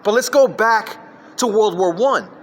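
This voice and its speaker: A man's voice: sits high, measured pace, slight background noise. A man ranting alone into his phone, jumping from one topic to the next with emphatic, scattered delivery. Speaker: vlogger. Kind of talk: rambling solo rant.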